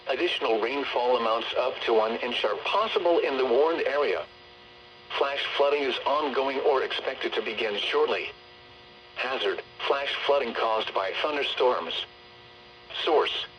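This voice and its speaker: A synthetic male text-to-speech voice reading scripted emergency weather bulletins over the radio in a formal, measured way. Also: medium pitch, muffled recording, noisy background. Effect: weather radio broadcast. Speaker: automated weather radio announcer.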